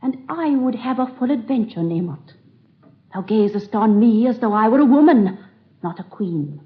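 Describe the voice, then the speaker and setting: A woman's voice: a little high, animated, moderate pace, lightly acted. An actress playing a haughty, bored and cruel queen of ancient Egypt, in 1930s radio drama style with archaic, imperious diction. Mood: sultry. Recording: dull old radio recording.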